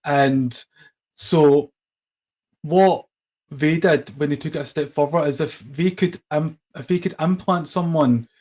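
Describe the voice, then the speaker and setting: A man's voice: a little high, a little slow, a little mellow. A man hosting a casual podcast conversation, hesitant with many ums and restarts. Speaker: podcast host.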